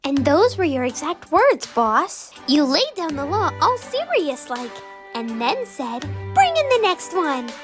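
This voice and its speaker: A performer voicing a fussy, loyal old underworld attendant in an animated drama, chatty, deferential and fretful. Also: high voice, a little slow. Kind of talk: animated-story character acting. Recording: music behind the voice.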